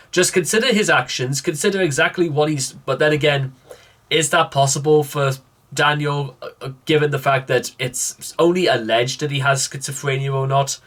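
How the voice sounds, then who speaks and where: A man delivers unscripted commentary on a recording. His voice sits at a middling pitch, and he muses aloud with an exasperated manner, working through his thoughts as he goes. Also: a bit bright. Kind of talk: off-the-cuff reaction commentary.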